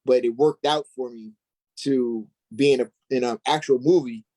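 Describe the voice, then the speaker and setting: A man's voice: mid-range voice, fairly even intonation, moderate pace, mellow. A middle-aged man being interviewed as an actor, telling personal stories in an informal, slangy way. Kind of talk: casual personal storytelling.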